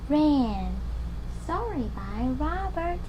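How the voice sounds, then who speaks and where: A woman's voice: high voice, very animated, extremely slow, muffled recording, background noise. A young woman reading a picture book to small children, using exaggerated delivery and asking questions as she goes. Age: young adult. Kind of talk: read-aloud story lesson for young children.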